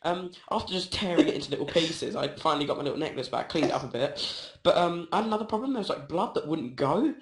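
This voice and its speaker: A man's voice: on the high side, lively intonation, fast, few pauses. A young man telling a rambling, absurd comedy story full of fillers and giggles. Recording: echoey room.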